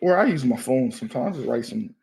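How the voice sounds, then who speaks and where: A man's voice: mid-range voice, animated, brisk, gravelly, a little mellow, husky, low-quality recording. A man in his thirties from Maryland, a comedian guest talking casually and loosely, often saying "you know what I mean.". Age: 30s. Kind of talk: casual podcast chat.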